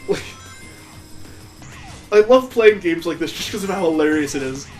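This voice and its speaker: A man with a slightly high voice gives casual commentary as he plays a video game. He gripes with amused sarcasm, and game music plays faintly behind him. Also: lively intonation, normal speed, darkish tone, poor audio. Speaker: let's play video host.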